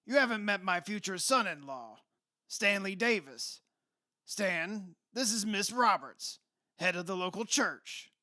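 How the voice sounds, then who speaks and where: A man's voice: high voice, expressive, measured pace, bright, forceful tone, no room sound, studio quality, exaggerated voice. A man acting in a radio play as a brash, blunt, middle-aged rich father who is impatient and demanding. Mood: brash, indignant. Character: brash wealthy father who bought an old mansion.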